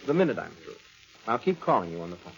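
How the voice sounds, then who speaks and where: Animated, coaxing husband. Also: male voice, fairly low pitch, quick pace, vocal fry. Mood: reassuring. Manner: coaxing, insistent. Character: earnest, coaxing doctor husband, reassuring, sometimes exasperated. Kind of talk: 1940s radio drama acting. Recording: muffled vintage radio, organ-era music behind.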